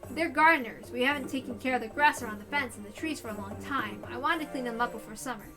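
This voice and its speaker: A woman voicing a mild, easygoing older male school principal, her voice hoarse. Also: fairly high pitch, quick pace, over music, lightly acted.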